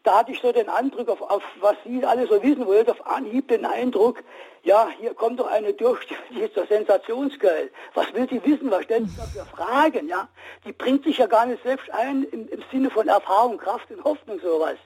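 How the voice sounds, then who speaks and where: A man in his sixties calling in to a radio show, telling his story haltingly with many restarts. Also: high voice, a little mellow, husky. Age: 60s. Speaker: caller. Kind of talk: call-in radio testimony.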